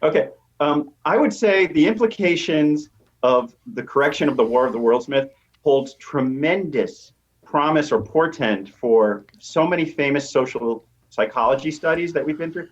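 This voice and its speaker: A man's voice: a little high, expressive, moderate pace. A man speaking as an academic interview guest, explaining in an assured way with examples, on slightly lo-fi audio. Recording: dull, lo-fi audio.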